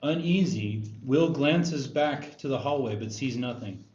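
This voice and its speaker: A man's voice: mid-range voice, animated, measured pace, a little mellow. A man reading scripted stage directions as narrator at a screenplay table read. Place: roomy indoor table read. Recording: echoey room, dull sound, faint noise.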